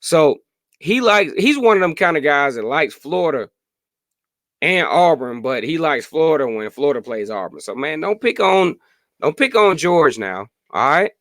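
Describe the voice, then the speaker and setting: A hoarse man in his late thirties hosting a casual sports podcast live stream, chatting freely and answering viewer comments. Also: on the high side, sing-song, normal speed, fairly bright tone, no echo, clean studio sound. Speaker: podcast host.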